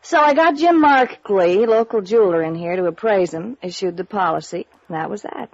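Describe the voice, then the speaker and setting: Sassy, catty American dame, old radio. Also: female voice, medium pitch, expressive, moderate pace, darkish tone, no echo. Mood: sardonic. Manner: slangy, sardonic, catty. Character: sassy, resentful small-town insurance office woman. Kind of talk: 1950s radio noir acting. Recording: dull, dry vintage radio recording.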